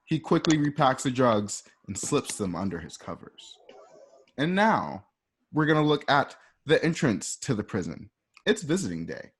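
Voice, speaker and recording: A man with a medium-pitched voice serves as narrator for a radio drama. He reads out stage directions informally, walking the listener through each scene as a conversational storyteller. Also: slightly rough.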